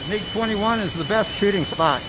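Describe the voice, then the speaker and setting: An excitable fighter pilot in a dogfight played by a man for an amateur radio comedy. His voice is on the high side, with plainly audible jet engine noise behind it. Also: a little fast. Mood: agitated.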